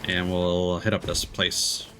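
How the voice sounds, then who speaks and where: A man's voice: low voice, completely flat delivery, slow, sharp tone, faint music behind. A man giving casual live gameplay commentary as a streamer, thinking aloud as he muses through tactics. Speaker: video game streamer.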